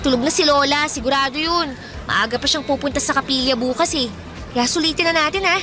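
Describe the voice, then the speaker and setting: A woman's voice: sits high, fairly quick, close to an everyday voice. An actress playing a carefree young woman who talks in a bubbly, teasing way, in radio drama style. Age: young adult. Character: cheerful young woman, carefree, fair-loving. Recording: music and crowd noise behind.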